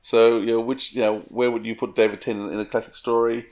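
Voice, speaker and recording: A man's voice: medium pitch, flat delivery, quick pace, a little mellow. Man chatting casually as podcast co-host. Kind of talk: casual chat.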